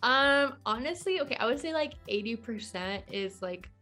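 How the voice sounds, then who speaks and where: A woman's voice: fairly high pitch, lively intonation, moderate pace, pushed and bright, faint music behind. A woman in her twenties talking casually as a podcast guest, in a chatty, informal way peppered with "like". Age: 20s. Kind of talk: casual conversational interview.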